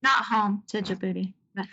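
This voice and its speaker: A woman giving an informal slide presentation about her service as a Navy air traffic controller, talking conversationally with searching pauses. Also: medium pitch, creaky, pushed and bright, husky, highs cut off. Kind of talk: informal slide presentation recounting deployment stories.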